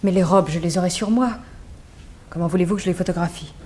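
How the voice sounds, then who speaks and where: An actress playing a defiant, cornered young fashion model with slangy, indignant replies in a 1960s French radio crime drama. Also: female voice, lowish voice, fast talker, mellow, lightly acted. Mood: wary, doubting.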